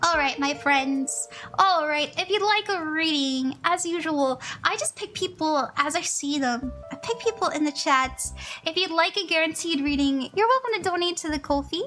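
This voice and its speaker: A woman's voice: high-pitched, expressive, normal speed, bright, forceful tone, soft background music. A woman psychic chatting casually on a live stream, bubbly and giggly, thanking viewers often. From Hawaii.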